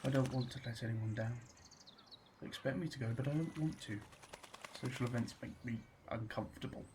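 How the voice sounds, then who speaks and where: An actor playing an anxious, solitary man in a radio drama monologue, measured and introspective. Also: medium pitch, background noise, close to an everyday voice. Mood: anxious, confiding.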